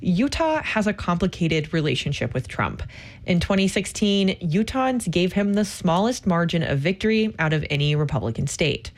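A woman's voice: lowish voice, lively intonation, moderate pace. A woman delivering a scripted radio news report.

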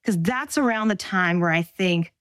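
A woman in her forties from Staten Island, New York, telling her story in an interview in thoughtful, measured phrases with pauses. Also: lowish voice, smooth, no echo, studio quality. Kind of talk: reflective personal storytelling.

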